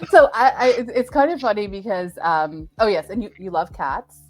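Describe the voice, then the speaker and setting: A woman hosting a casual live stream interview, with a chatty, jokey and halting way of talking. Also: medium pitch, expressive, soft background music. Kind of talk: casual unscripted chat.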